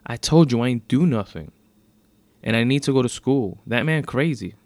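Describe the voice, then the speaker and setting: A man's voice: medium pitch, expressive, unhurried, vocal fry, warm tone, dry recording, voice pushed up. A man voicing a defiant, upset sixteen-year-old girl with slangy, indignant speech in a narrated story.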